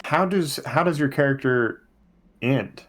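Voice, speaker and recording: A man hosting a casual roundtable, deliberate and halting as he rephrases his questions. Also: mid-range voice, a bit bright. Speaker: podcast host posing questions.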